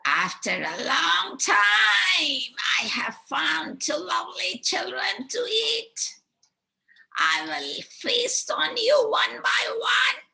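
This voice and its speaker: A woman voicing a greedy, half-blind old female witch with a high, shrill cackle. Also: huge pitch swings, takes it slowly, vocal fry, pushed and bright, heavily acted, poor audio. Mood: gloating.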